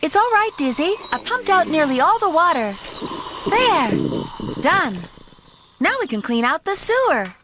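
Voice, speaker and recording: A woman playing a capable, kindly female builder in a cartoon, cheerful and gently coaxing. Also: very high-pitched, sing-song, unhurried, background noise, exaggerated voice. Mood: busy. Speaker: builder, business partner.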